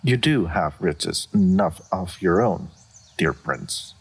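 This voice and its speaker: A man playing an aloof dragon in human form, speaking formally and with deadpan reserve in a fantasy roleplay audio. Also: low voice, slow pace, gravelly, husky, heavily acted. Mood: cool, reserved. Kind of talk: scripted fantasy roleplay audio addressed to the listener.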